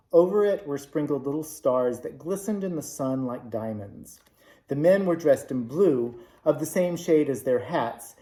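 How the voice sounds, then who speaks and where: A man reads a classic children's novel aloud in a measured, expressive way. His voice is mellow and relaxed. The room gives the recording a clear echo. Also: fairly high pitch. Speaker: storybook reader. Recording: roomy echo.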